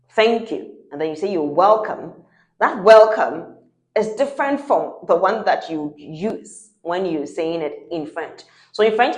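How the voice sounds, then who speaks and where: Low-voiced Ghanaian woman teaching French. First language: Fante. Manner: patient, repeats examples, checks in with "right?". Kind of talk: explanatory teaching talk. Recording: roomy echo.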